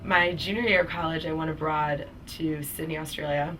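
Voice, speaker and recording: A woman's voice: sits low, fairly even intonation, moderate pace, fairly bright tone, distant mic, faint music behind, rough recording. A woman in her twenties telling her own cancer story in a casual, chatty way, with many fillers. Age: 20s. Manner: chatty, with frequent fillers and small laughs. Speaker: cancer survivor giving a testimonial. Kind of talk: casual personal testimonial.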